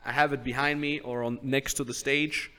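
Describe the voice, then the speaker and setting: A man hosting a podcast, giving casual business advice step by step. He keeps acting out sample sales pitches and checking in with "right?". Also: little pitch movement, moderate pace, sharp tone, clean tone. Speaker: business coach host.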